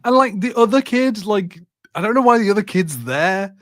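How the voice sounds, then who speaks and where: A man's voice: sits high, expressive, moderate pace, a bit bright, no room sound, studio quality. A man co-hosting a podcast, giving his take in casual chat with a blunt, dismissive, informal manner. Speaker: podcast co-host.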